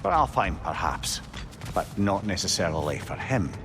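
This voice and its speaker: An actor playing a shrewd, worldly older adviser in a video game, candid and colloquial. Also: male voice, deep voice, sing-song, moderate pace, over music. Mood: candid, reasoning. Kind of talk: dramatic video game acting.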